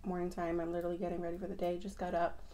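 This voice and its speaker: A young woman chatting casually through a makeup routine, her voice raspy from just waking up, rambling with lots of fillers. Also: on the low side, monotone, rapid-fire, mellow. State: raspy morning voice. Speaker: beauty vlogger. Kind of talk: casual get-ready-with-me chat.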